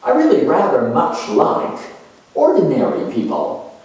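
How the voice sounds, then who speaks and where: Man acting a self-assured politician, slow, echoey. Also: on the high side, wildly swooping pitch, a little mellow, full character voice. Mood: opinionated, emphatic. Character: proud, charming, opinionated male career politician turned world judge. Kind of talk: dramatized first-person character monologue. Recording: roomy echo, distant microphone.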